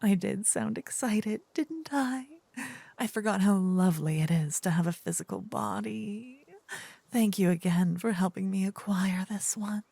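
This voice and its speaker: Slow, breathy demon queen, acted by a woman. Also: medium pitch, dark tone, no echo. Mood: doting, pleased. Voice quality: breathy, husky, often whispering. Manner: slow, teasing, doting menace. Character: seductive, possessive evil demon queen, ancient and smug. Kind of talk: fantasy ASMR roleplay, whispered and intimate. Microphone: close, dry microphone.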